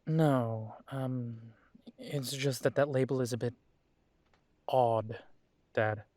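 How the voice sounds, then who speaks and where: A man playing an earnest young adult werewolf son in an audio drama, talking in a measured, steady way. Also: medium pitch, no room sound. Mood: insistent. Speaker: audio drama creator and lead voice.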